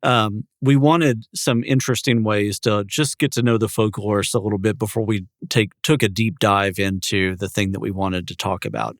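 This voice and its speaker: Man, lowish pitch, podcast co-host, casual chat, measured wordy explainer, dry sound. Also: no echo.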